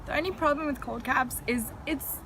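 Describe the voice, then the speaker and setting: A woman's voice: high-pitched, normal speed, fairly bright tone, a little hiss or hum. A woman in her thirties from South Africa, telling her story as a guest in a casual interview, frank and chatty. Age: 30s. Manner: frank, chatty, laughs lightly while recounting.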